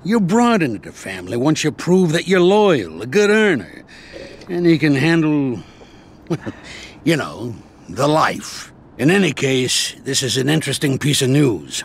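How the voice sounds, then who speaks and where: A commanding, gravelly older mob boss, blunt and dry, faint noise behind. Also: male voice, fairly high pitch, sing-song, unhurried, exaggerated voice. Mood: calm.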